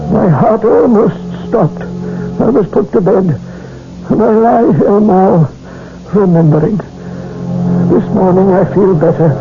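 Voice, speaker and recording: High-voiced, pompous old hanging judge. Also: male voice, vocal fry, full character voice. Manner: pompous, declamatory, theatrical. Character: harsh, haunted old English hanging judge, sickly and raging. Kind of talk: 1970s radio drama acting. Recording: muffled old radio broadcast, dramatic music behind.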